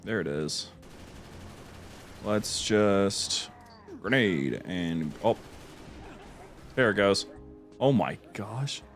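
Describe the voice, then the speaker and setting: Chatty low-voiced man gaming on stream. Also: animated, very slow, pauses often, soft background music. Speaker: livestream host playing a game. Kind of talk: casual gaming commentary.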